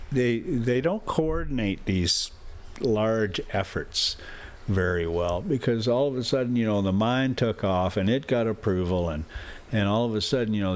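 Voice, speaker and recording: A man hosting a travel vlog from inside a motorhome, rambling casually and reading a story aloud in a halting, folksy way. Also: fairly low pitch. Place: inside a motorhome while driving.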